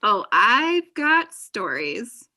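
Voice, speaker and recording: A woman's voice: medium pitch, very animated, very slow, pushed and bright, heavily acted. A young woman playing a chatty, overenthusiastic and possessive best friend in a comedy table read over a video call. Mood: gushing, teasing. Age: young adult. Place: indoor room on a video call.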